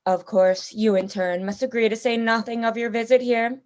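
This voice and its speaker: Radio drama villain played by a woman: genteel female general who hunts people, very high voice, grandly polite and toying. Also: fairly even intonation, moderate pace, darkish tone, lightly acted. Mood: eager menace. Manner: pompous, theatrically polite, toying. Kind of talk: radio play acting.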